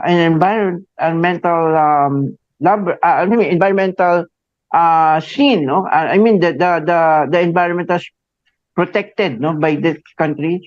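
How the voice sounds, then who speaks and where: A Filipino man in his forties hosting a radio interview, speaking haltingly with many fillers and a tagged "no?". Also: on the high side, no room sound. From Philippines. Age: 40s. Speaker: radio host. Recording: muffled dry radio studio sound.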